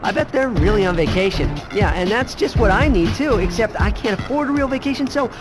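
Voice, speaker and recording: An actor playing a cheeky, nervy, wisecracking young superhero in a video game. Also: male voice, high voice, expressive, a little fast, music in the background. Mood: exasperated. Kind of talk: video game cutscene acting.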